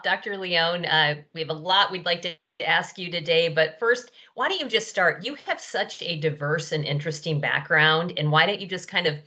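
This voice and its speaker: A woman's voice: lowish voice, pushed and bright, highs cut off. A woman co-hosting a podcast, asking questions in a conversational interview style. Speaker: podcast co-host.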